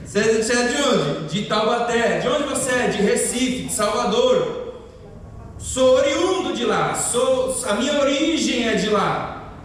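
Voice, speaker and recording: A man's voice: extremely high pitch, expressive, unhurried. A man from the interior of São Paulo state with a Brazilian accent, preaching an expository sermon and building to emphatic proclamations. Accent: Brazilian Portuguese, São Paulo interior. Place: church hall. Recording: far-off mic in an echoey church hall with faint noise.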